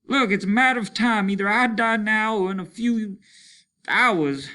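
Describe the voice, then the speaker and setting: A man playing a hardened soldier at the end of his rope in a storybook reading. The voice is raised and strained, with a bright, hard edge. He speaks bluntly, with bitter fatalism. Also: high-pitched, moderate pace, voice pushed up. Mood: fatalistic, desperate. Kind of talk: dramatic storybook character reading.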